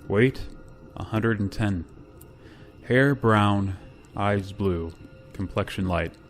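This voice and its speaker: A man playing a grave, reluctant spaceship pilot in a radio drama, speaking in a solemn, halting way. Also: fairly low pitch, soft background music. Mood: grave, official. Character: grave, reluctant male spaceship pilot bearing terrible news. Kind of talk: radio drama acting with narration.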